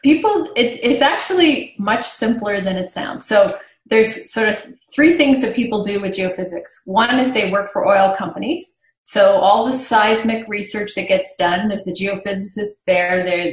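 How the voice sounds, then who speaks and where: A woman from Canada, raised bilingual in French and English, speaks as a podcast guest. She explains things in a conversational, storytelling way at a middle pitch. The remote recording is roomy and echoing. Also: measured pace. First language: bilingual French and English from childhood. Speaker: podcast guest, tech marketing executive. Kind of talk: conversational interview answers, explaining.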